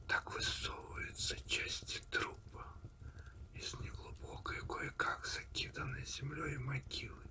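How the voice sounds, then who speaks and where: A man narrating a story in a breathy, hushed ASMR whisper, deliberate and unhurried, with pauses between phrases. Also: fairly high pitch, wildly swooping pitch. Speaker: audiobook reader and host of an ASMR channel.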